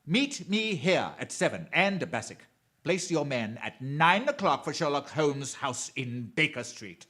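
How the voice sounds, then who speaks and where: A villainous criminal mastermind in a radio drama. He speaks slowly, with great swoops of pitch, savoring each threat and gloating as he repeats it. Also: very animated, bright, forceful tone, full character voice. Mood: scheming command. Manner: slow relishing menace, chuckling, repeats phrases. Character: male crime mastermind, menacing, gloating, theatrical.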